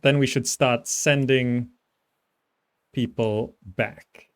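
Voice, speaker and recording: A man's voice: medium pitch, steady tone, drawn-out words, smooth. A man giving casual live commentary as he streams a strategy game, thinking aloud as he plays. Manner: thinking aloud while playing.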